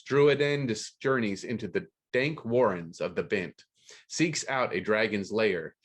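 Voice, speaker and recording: A man hosting a podcast about books. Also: fairly low pitch. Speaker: podcast host. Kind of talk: scripted book news read aloud, then casual interview.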